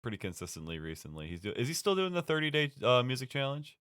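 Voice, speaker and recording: A man co-hosting a casual podcast chat, sniffling from a runny nose and talking in an animated way. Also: medium pitch, fast talker, clean tone. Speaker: podcast co-host.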